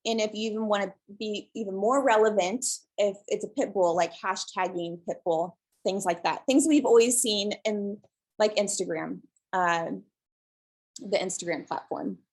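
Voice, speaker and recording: A woman from the presenting in a webinar over a video call, soft and relaxed, with many pauses and ums. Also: mid-range voice. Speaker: guest presenter, shelter social media creator.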